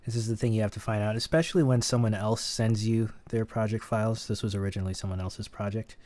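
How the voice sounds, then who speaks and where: A man hosting a casual audio editing tutorial, chatting in a relaxed way and laughing at himself. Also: fairly low pitch, fast talker, no echo. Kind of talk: casual screen-recorded tutorial.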